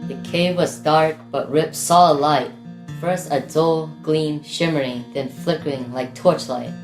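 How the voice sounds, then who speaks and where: A woman narrating a rhymed audio drama story in a measured, lyrical storybook manner. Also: deep voice, steady tone. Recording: roomy echo with music underneath. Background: guitar music.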